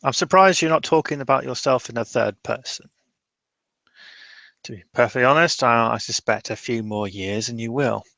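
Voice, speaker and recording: A man's voice: medium pitch, creaky, fairly bright tone, no room sound. Man playing a musing, courteous hermit mage, dry-sounding room. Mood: wry. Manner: halting, musing, old-fashioned wording. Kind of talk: tabletop roleplay, in character.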